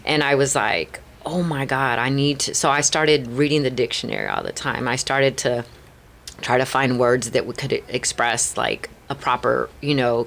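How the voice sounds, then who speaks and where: A woman's voice: a bass voice, gravelly, a bit bright, slight background noise. A middle-aged Chicana woman with a Chicana accent, telling candid stories as a podcast guest, earnest and full of "like" and "right?". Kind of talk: candid storytelling interview.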